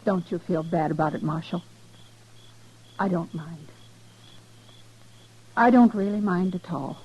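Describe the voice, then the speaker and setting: A woman's voice: fairly low pitch, a little slow, dark tone, husky. A woman playing a bitter, defiant frontier widow bent on revenge in a 1950s western radio drama. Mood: resigned. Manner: defiant, grimly resigned. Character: bitter, vengeful frontier widow, resolute killer. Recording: dull lo-fi old radio recording.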